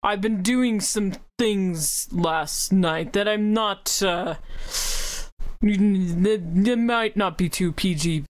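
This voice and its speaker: A woman playing a flippant, rambling, easily indignant pirate in an improvised comic film dub, halting and sarcastic. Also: mid-range voice, close to an everyday voice. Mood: baffled. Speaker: improv fandub voice actor.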